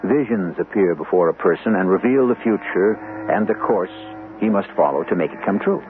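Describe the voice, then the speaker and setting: Gravelly, theatrical male mystery host. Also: low voice, slight background noise. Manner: deliberate, theatrical, rhetorical. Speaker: host and narrator. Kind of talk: dramatic scripted mystery narration. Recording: tinny old radio broadcast. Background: music.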